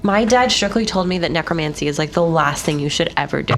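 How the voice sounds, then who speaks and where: A woman's voice: deep voice, fast. A woman playing a tabletop roleplaying game, chatting casually as her character.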